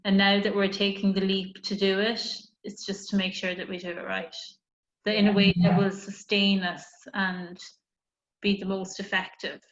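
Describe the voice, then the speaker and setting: A woman's voice: mid-range voice, little pitch movement, moderate pace. A woman taking part in an online workshop, speaking in a thoughtful, hedging way. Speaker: workshop participant. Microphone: video call. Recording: roomy lo-fi call audio.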